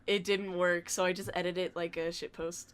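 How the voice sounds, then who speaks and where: A woman's voice: fairly low pitch, flat delivery, normal speed. A woman guest on a podcast, telling stories in casual, slangy, joking talk. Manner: slangy, jokey storytelling. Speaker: podcast guest, dubbing group organiser. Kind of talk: casual chat.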